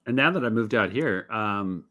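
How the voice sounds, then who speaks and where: A man's voice: lowish voice, animated, normal speed, pushed and bright. A man in his fifties co-hosting a casual podcast, teasing his co-host with dry jokes and reading a sponsor plug. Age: 50s.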